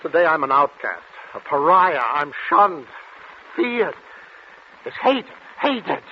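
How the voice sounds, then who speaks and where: An actor playing a tormented male patient cursed to blurt out truths, anguished and halting in 1940s radio style. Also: on the high side, sing-song. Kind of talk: 1940s radio drama acting. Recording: muffled, noisy 1940s radio recording.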